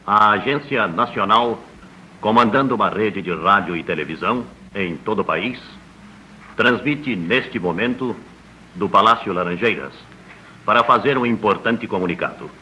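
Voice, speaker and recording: A Brazilian man making a measured, ceremonious official announcement in 1960s radio style. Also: on the low side, lively intonation, pushed and bright. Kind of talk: formal 1960s broadcast announcement. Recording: slightly noisy 1960s radio archive.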